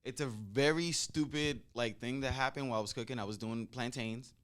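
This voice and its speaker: A man's voice: medium pitch, a little fast, smooth. A young man hosting a podcast in a tattoo shop, chatting loosely and swearing freely, holding a handheld mic. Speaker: podcast host, tattoo artist. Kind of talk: casual chat.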